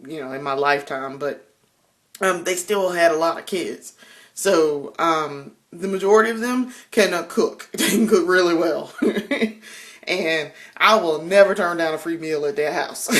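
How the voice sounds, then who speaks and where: A young woman from South Carolina talks in a thick Southern drawl as she cooks in her home kitchen. She chats loosely, with plenty of ums, frequent laughs and easy swearing. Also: low voice. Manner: chatty, laughs often, swears freely, lots of ums. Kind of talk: casual vlog chat while cooking.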